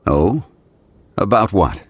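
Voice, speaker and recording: An actor playing an ancient god in human form in an anime game, calm, wise and solemn, speaking in a measured, formal way. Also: male voice, lowish voice, slight background noise. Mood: calm, regretful. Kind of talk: dramatic anime game acting.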